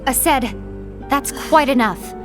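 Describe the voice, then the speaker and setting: Composed, higher-voiced diplomatic woman. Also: extremely slow, over music. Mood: firm. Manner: formal, composed, firm. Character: poised, calm, diplomatic woman of a secretive order. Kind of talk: dramatic game cutscene acting.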